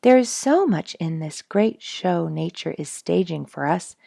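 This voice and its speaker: A woman reading a nature storybook aloud in an expressive, measured, dramatic storytelling manner. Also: on the low side, gravelly, no echo, studio quality. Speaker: audiobook reader and show host.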